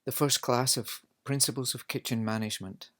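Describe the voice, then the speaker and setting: A man's voice: medium pitch, fairly even intonation, brisk, fairly bright tone. A Scottish man, a culinary instructor, giving a recorded lecture, explaining at length with frequent fillers. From Scotland.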